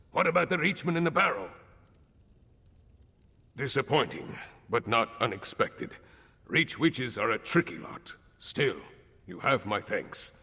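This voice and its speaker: A man playing a proud, blunt, warlike Nordic lord in a fantasy video game, with a rough, husky voice. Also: medium pitch, sharp tone, exaggerated voice. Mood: outraged. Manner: blunt, declamatory. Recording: long hall reverb, music behind. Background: game music.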